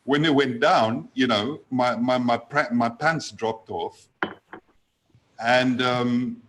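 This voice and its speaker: A man chatting over a video call, his talk halting and full of fillers, stopping and restarting his sentences. His pitch sits in an ordinary middle range. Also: a bit bright, a little hiss or hum. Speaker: guest trader. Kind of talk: casual video call chat.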